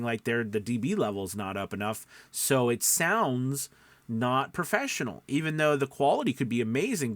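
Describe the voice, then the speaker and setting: A man with a medium-pitched voice speaks as an informal instructor. He leans on important points, repeats them and tags on little check-in questions. The sound is dry, with hardly any room in it. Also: a bit bright, no echo. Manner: emphatic, repeats key points, checks in with "right?" and "okay?". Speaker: voice-over coach. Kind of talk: informal instructional talk, tips for aspiring voice actors.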